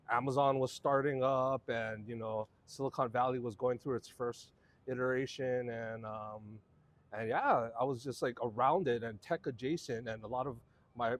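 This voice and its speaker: Relaxed man telling his story. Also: mid-range voice. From Hawaii. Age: 40s. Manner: relaxed, rambling storytelling with many fillers and restarts. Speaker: guest. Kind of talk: casual conversational interview.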